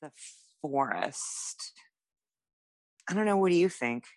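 A woman banters casually on a podcast. She is playful and irreverent, quick to poke fun at herself. The sound is very dry, with little room to it. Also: low voice, big pitch swings, no echo. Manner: playful, irreverent, self-deprecating. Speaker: podcast co-host.

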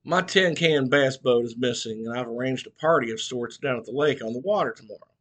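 A man's voice: mid-range voice, fairly quick, a bit bright, close to an everyday voice. A man voicing a skinny, flustered trailer-park man, whiny and worked up.

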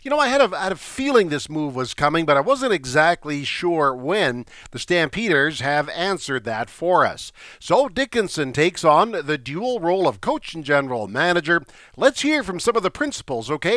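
Lively-voiced male sports show host. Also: a little high, moderate pace, no room sound, clean studio sound. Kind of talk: scripted sports commentary.

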